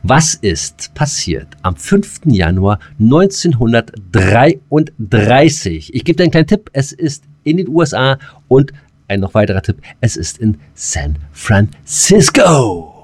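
A man's voice: medium pitch, sing-song, a little mellow, slightly rough, no echo. A man co-hosting a casual podcast, speaking colloquially and often correcting himself as he goes. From northern Germany. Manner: halting, self-correcting, colloquial. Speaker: podcast co-host. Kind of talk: casual unscripted podcast banter.

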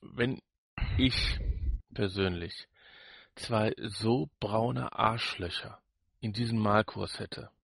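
A man's voice: lowish voice, takes it slowly, vocal fry, long pauses, a bit bright, breathy edge, no echo. A man co-hosting a podcast in loose, casual chat, often repeating words as he works toward his point. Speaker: podcast co-host. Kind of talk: casual chat reviewing an audio drama.

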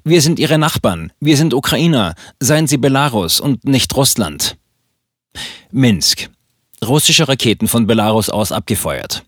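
A man reading scripted news articles for a podcast in a formal, measured manner. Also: mid-range voice, very animated, dry recording, studio quality.

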